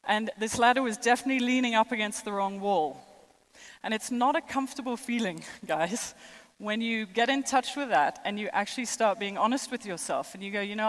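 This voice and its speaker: A woman's voice: medium pitch, big-room sound, poor audio. A woman in her thirties with a mixed international accent, French by mother tongue, giving a chatty, self-deprecating conference keynote. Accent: mixed international, French and American traces. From born in the United States, raised in six countries. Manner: chatty and self-deprecating, with light laughs and fillers. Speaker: keynote speaker. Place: large conference hall.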